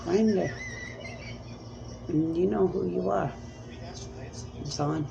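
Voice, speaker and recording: A woman's voice: fairly low pitch, extremely slow, vocal fry, lo-fi sound, over music. A woman speaking as a self-described spirit medium in a rambling, emphatic monologue, pleading and repeating commands.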